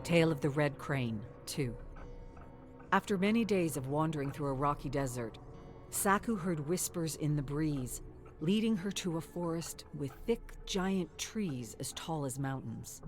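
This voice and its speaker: Female narrator, notably deep register, dramatic scripted storytelling at a measured delivery, faint music bed. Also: lively intonation.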